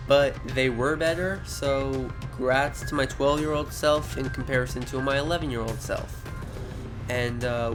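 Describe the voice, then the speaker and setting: Teen male narrator, casual and rambling, self-correcting, with loud music running underneath. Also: mid-range voice. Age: teens. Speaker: video creator narrating their early animations. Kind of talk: casual voice-over commentary. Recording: music behind the voice. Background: background music.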